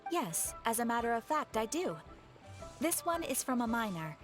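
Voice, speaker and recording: Guild receptionist for a video game: courteous, measured, formal, higher voice, music bed audible. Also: female voice, very animated. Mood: polite, composed. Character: female guild receptionist, polite, composed, formal. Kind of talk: video game character dialogue. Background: game background music.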